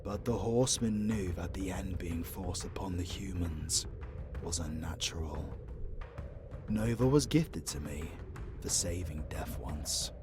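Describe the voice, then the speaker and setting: Low, slow, weary man as a demon hunter. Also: music in the background. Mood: brooding. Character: weary, grieving male demon hunter on trial. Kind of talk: fantasy audio drama acting.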